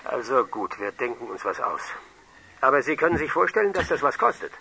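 An actor playing an uneasy, shady supplier in a radio crime drama, wary and bargaining. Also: male voice, mid-range voice, very animated, brisk, creaky, pushed and bright, a little hiss or hum, exaggerated voice, low-quality recording. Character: uneasy shady man taking a criminal order.

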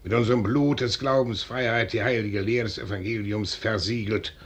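Stern, husky Swedish colonel. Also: male voice, lowish voice, fairly even intonation. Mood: proud, aggrieved. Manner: formal, measured declamation, rising to stern insistence. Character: stern, guarded Swedish colonel acting as envoy. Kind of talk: classic German radio play, declaimed stage verse.

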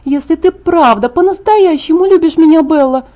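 A woman voicing an excitable, pushy, sisterly young female vampire in a storybook reading, pleading and insistent. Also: high voice, moderate pace, pitched above the performer's own voice, natural voice.

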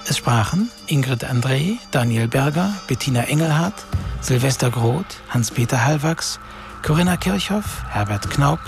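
A man's voice: mid-range voice, animated, normal speed, gravelly. A man working as a radio announcer, reading scripted closing credits for a radio drama. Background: prominent music.

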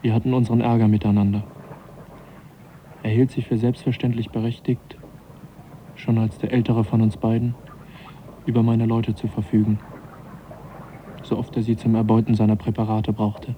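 An actor playing a modest, sceptical young English naturalist in a German radio drama, speaking in a reflective, politely questioning way. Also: male voice, fairly low pitch, flat delivery, normal speed, pauses often, natural voice. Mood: reflective, regretful. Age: young adult. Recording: dull, faintly noisy radio drama recording.

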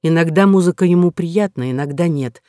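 A woman voicing a sly, flattering male Jesuit abbot in an audiobook novel, measured, ingratiating and unruffled. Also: fairly low pitch, no echo, clean studio sound, close to an everyday voice.

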